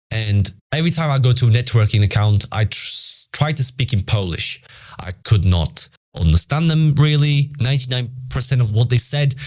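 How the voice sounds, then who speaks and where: Young British man, lively motivational talk. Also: medium pitch. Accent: British English. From Britain. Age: young adult. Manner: repetitive, emphatic, conversational. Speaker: podcast host.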